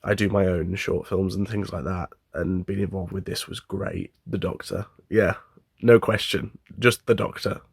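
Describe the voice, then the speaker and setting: A man's voice: low voice, fairly even intonation, moderate pace, creaky, husky, no echo, clean studio sound. A man who acts as an amateur, giving casual interview answers. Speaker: amateur actor, writer.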